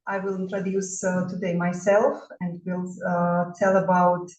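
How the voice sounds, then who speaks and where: A woman in her forties from Russia, a bodywork therapist introducing herself in halting non-native English with a Russian accent, full of fillers and restarts. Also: lowish voice, level tone, darkish tone. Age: 40s. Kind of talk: self-introduction talk. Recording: roomy echo, mic at a distance.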